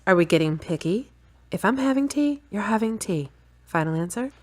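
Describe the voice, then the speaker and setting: A woman playing a frank, slightly bossy and stubborn girlfriend in an audio drama, brisk and matter-of-fact. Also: mid-range voice, expressive, natural voice. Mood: frank, weary. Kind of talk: acted audio drama dialogue.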